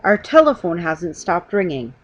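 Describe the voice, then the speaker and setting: A woman's voice: fairly low pitch, very animated, moderate pace, dull audio. A woman voicing a pompous, important male store manager from a storybook, declaiming grandly and emphatically. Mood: grateful, grand.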